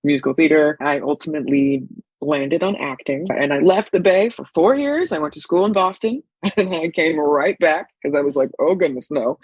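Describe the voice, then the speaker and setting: A woman's voice: deep voice, normal speed, a little mellow, breathy edge, no room sound, rough recording. A woman in her twenties with a Californian accent talks as a guest in a casual interview. She tells things in an informal, storytelling way, recorded dry with no room sound. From San Francisco Bay Area, United States. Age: 20s. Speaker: guest, stage actor and arts educator.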